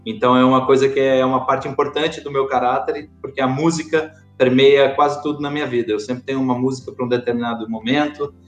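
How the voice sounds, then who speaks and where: A man with a Brazilian accent, a podcast guest talking casually, often pausing with fillers and restarting his sentences. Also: mid-range voice, flat delivery, roomy sound, faint music behind. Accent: Brazilian Portuguese. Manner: hesitant, many fillers and restarts. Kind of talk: casual interview chat.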